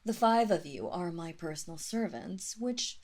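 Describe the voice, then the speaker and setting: Slow, imperious vampire mistress, acted by a woman. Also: fairly low pitch, lively intonation, a little mellow. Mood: cool, commanding. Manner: slow, deliberate, formal, condescending. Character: imperious female vampire mistress, coolly menacing aristocrat. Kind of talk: scripted roleplay audio drama.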